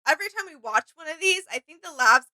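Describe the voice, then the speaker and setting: A woman's voice: sits high, animated, fast talker, pushed and bright, dry recording. A woman co-hosting a reaction video with casual, conversational commentary.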